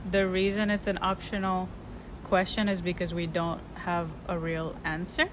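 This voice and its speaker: A woman's voice: lowish voice, monotone, moderate pace. A young woman co-hosting a casual chat, agreeable and full of small yeahs, stating points plainly. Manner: agreeable, backchannels a lot, then states points plainly. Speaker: co-host. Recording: noisy recording.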